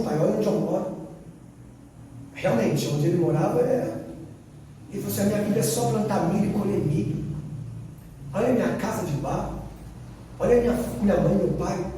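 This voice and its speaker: A Brazilian missionary man tells his story from the pulpit, acting out each exchange and repeating lines for weight. His voice sits high but mellow. He is caught from across a reverberant church hall with a faint hum of ambience. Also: recorded from a distance. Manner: storytelling, quoting dialogue, repeating phrases for emphasis. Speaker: missionary preacher. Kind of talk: sermon testimony.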